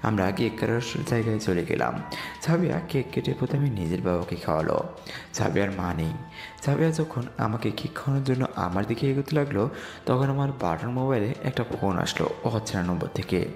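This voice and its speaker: A man's voice: lowish voice, vocal fry, darkish tone. A young man narrating a dramatised story in the first person. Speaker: narrator and lead voice actor. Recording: echoey reverb with music behind.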